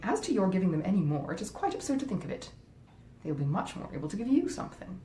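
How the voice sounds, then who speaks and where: A woman reading a classic novel aloud as a grasping, self-justifying married gentlewoman, genteel, insistent and coolly persuasive. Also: very animated, fast, lightly acted. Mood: scornful, insistent. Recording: roomy echo, faint rumbling noise.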